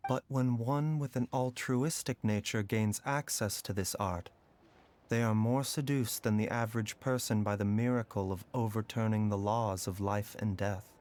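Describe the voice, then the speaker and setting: A man voicing a composed physician in a video game who speaks in a measured, calm and reflective way. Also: fairly low pitch, natural voice. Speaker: doctor.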